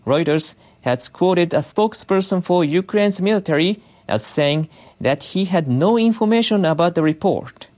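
A news reader delivering scripted international news in a measured, formal way. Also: mid-range voice, expressive, darkish tone.

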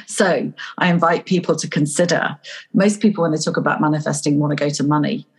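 A woman from Yorkshire, a coach and guest, talking in an animated storytelling way in a casual interview. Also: deep voice, fairly quick, husky. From Yorkshire, England.

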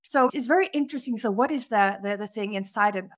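Middle-aged woman, interview guest, animated storytelling, pitch leaps on key words. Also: fast. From Norway. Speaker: guest speaker.

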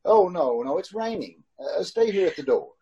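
A man's voice: high-pitched, big pitch swings, moderate pace, gravelly, dark tone, dull audio, a little hiss or hum, exaggerated voice. A man playing an anxious driver in his thirties in an old-time radio drama, with dramatic delivery. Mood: cheerful, reassuring. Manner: urgent, dramatic first-person narration. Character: haunted, anxious thirty-six-year-old male driver telling his story.

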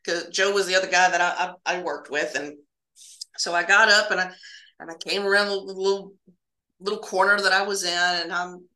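Bright-voiced woman telling spooky work stories. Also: fairly low pitch, fairly even intonation, normal speed, echoey room, low-quality recording. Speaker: guest telling stories of working in hospital laboratories. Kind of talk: casual spooky storytelling.

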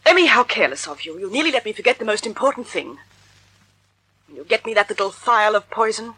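A woman's voice: mid-range voice, sing-song, fast talker, sharp tone. An actress in a 1940s-style radio drama playing a vain, glamorous, cold woman with a silky, imperious, theatrical manner. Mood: imperious, self-satisfied. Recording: noisy old radio recording.

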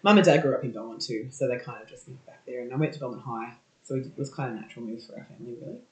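A woman's voice: extremely low pitch, racing, a little mellow. Chatty Australian woman in a roomy space. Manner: chatty, laughs often, many ums and yeahs. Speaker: guest. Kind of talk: casual interview talk. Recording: roomy echo.

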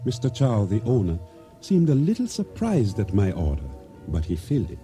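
A guarded, earnest trader speaks in a very dark, soft-edged voice with lively swings of pitch. His radio drama delivery is grave and formal, with faint music behind. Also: moderate pace. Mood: brooding. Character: guarded, earnest island supply trader narrating his tale. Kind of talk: radio drama narration and dialogue.

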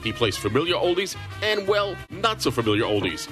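Brisk male radio talk-show host. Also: fairly high pitch, very animated, over music. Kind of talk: conversational talk and show introduction.

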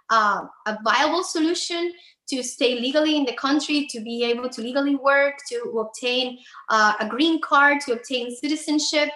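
Cuban-accented woman, bright and clear, higher pitch, guest attorney, earnest, halting phrasing, roomy echoey sound. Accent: Cuban Spanish. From Cuba. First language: Spanish. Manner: earnest, searching for words, repeats herself. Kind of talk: conversational interview.

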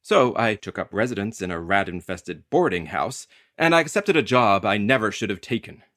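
A man's voice: lowish voice, lively intonation, normal speed, a bit bright, no room sound, clean studio sound. An actor playing a hapless, anxious delivery carrier who tells his creepy tale with wry, theatrical drama in an audio play. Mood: wry, weary. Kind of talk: dramatic first-person narration in an audio play.

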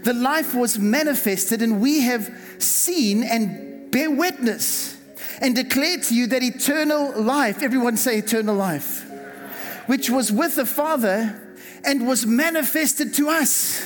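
A South African pastor preaching a fervent sermon, repeating words and calling on the congregation to respond. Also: male voice, very high-pitched, a little slow. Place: church hall. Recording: reverberant hall with music behind. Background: music.

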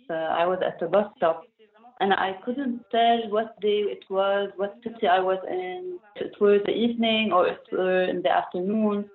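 A woman with a French accent translates answers into English, pausing often and searching for her words. She sounds thin and tinny over an internet call. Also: medium pitch. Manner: hesitant, pausing with fillers.

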